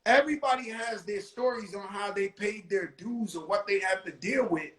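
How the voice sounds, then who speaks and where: A man's voice: sits high, normal speed, fairly bright tone, roomy sound. A man with a New York accent hosting a live stream, talking casually in street slang with repeated exclamations.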